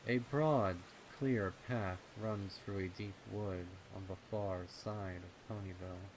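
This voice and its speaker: A man reading scripted dark fiction as narrator, in a measured, deliberate manner. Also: low voice, steady tone, low-quality recording. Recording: noticeable ambient noise behind the voice. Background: ambient sound effects.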